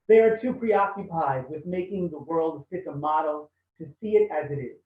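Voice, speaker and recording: A man's voice: a little high, expressive, far from the mic. A man reads poems aloud over a video call. The audio is tinny and phone-like. He sits some way from the mic in an echoing room. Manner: quick spoken asides, then measured reading. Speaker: poet reading. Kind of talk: poetry reading on a video call. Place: home, kitchen or similar room.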